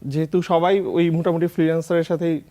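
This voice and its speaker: A Bangladeshi man with a fairly high voice talks as an interview guest. He tells his story in a casual, conversational way. English words and phrases are mixed into his speech. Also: steady tone, fast talker, warm tone. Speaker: guest, food content creator.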